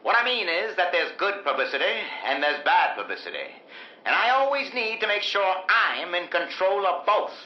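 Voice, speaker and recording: An actor playing a menacing, smooth-talking carnival barker in a 1950s-style radio drama. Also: male voice, high-pitched, big pitch swings, normal speed, roomy sound. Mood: quietly menacing. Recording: dull vintage radio recording with music.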